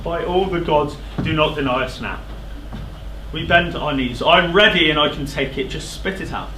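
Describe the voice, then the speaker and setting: A man's voice: fairly high pitch, moderate pace, sharp tone. An actor playing a proud, hot-tempered king in a stage verse drama, declamatory and scornful. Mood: urgent pleading. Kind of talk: theatrical verse stage acting. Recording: echoey theatre stage recording, faint noise.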